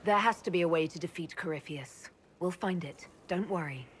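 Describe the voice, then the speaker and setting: Brief: woman as elf mage heroine, slightly low voice, composed, inquisitive, plain-spoken, fantasy game. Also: normal speed, a little hiss or hum, close to an everyday voice. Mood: determined. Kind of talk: video game voice acting.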